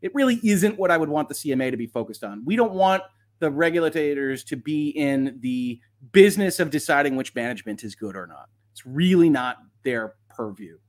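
Higher-voiced man giving analytical commentary. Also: animated. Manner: analytical, measured hedging, lawyerly vocabulary. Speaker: host, legal commentator. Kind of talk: live off-the-cuff legal commentary, reading and reacting.

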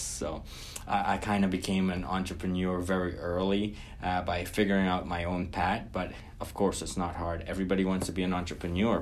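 Guy on an interview, lowish voice, earnest, perks up telling stories. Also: slight background noise. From Guyana. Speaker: interview guest. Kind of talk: casual storytelling in an interview.

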